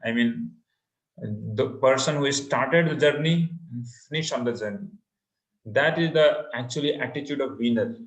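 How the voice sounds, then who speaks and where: An Indian-accented man, a native Hindi speaker, leads an interactive online class. He speaks in an encouraging way and often repeats himself. His mid-pitched voice carries clear room echo from an online call. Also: moderate pace. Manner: mixes English and Hindi, repeats phrases, encouraging. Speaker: online course instructor. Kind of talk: interactive webinar presentation.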